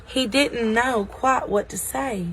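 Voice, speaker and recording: A woman's voice: fairly high pitch, slow pace, slight background noise. A woman reading a children's story aloud. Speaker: story reader at a school.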